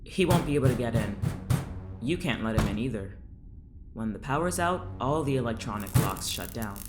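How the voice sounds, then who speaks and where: An actress playing a defiant, sarcastic captive in an audio drama, snide and mock-patient. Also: female voice, extremely low pitch, lively intonation, normal speed, loud music behind the voice.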